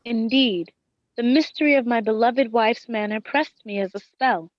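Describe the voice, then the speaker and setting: Female, actress playing loving, anxious wife narrating gothic tragedy; higher register, formal diction, dry sound. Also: moderate pace, no echo, dull audio, lightly acted. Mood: somber, wistful. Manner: formal, literary phrasing. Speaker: actress in an audio drama. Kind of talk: dramatic first-person radio play narration and dialogue.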